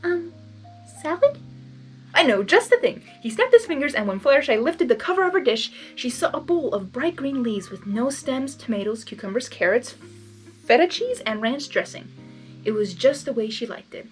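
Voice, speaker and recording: A woman reads a story aloud in a fairly high, lively voice with wide swings in intonation. She is chatty and excitable, slipping into casual, giggly commentary. Faint music plays behind her. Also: moderate pace. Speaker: story reader. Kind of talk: expressive blind reading with casual asides.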